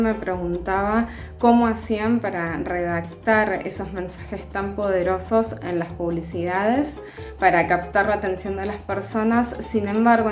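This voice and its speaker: A woman in her thirties with a Buenos Aires accent recounts her path into copywriting in a steady, storytelling manner. The room sounds echoey, and soft music runs beneath her. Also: mid-range voice. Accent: Argentine (Buenos Aires). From Argentina. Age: 30s. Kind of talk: recorded testimonial.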